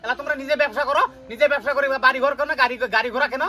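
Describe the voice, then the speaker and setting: Man playing a shrill, fast-scolding village father. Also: steady tone, pushed and bright, soft background music, heavily acted. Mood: nagging. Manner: scolding, nagging. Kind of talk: comedy skit dialogue.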